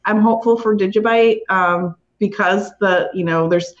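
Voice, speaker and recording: A woman's voice: mid-range voice, steady tone, moderate pace. Lady guest on an interview chat, informal and conversational, mid voice, kinda muffled lo-fi call sound. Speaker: interview guest, community awareness team member.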